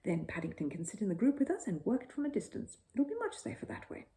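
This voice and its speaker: A woman reading an excitable middle-aged father, emphatic and easily flustered, in a children's storybook. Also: mid-range voice, fast, slight background noise. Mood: reasonable, wry.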